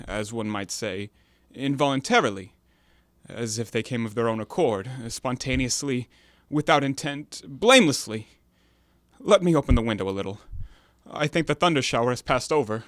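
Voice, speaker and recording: A man's voice: medium pitch, expressive, normal speed, a bit bright, no room sound, lightly acted. Man acting a defensive, formal ex-convict, dry sound. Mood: self-justifying. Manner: formal, elaborately self-excusing. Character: defensive, uneasy male ex-convict, formal and self-justifying. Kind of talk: stage-play dialogue in an audio drama.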